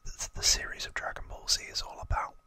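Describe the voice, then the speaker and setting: An ASMR host whispering casual, informal trivia narration in a breathy, unvoiced voice. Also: fast talker, pushed and bright, no echo.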